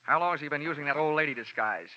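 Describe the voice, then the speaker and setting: Hard-boiled man, quick wisecracks, crisp, sharp voice, dull old radio recording with music. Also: on the high side. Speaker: insurance investigator and narrator. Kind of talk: 1950s radio drama acting and narration.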